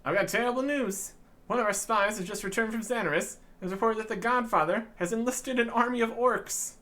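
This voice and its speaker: Game fairy, voiced by a male player: raised pitch, earnest, worried, loyal to the queen. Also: a little fast, bright, forceful tone. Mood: alarmed. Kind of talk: video game dialogue read aloud.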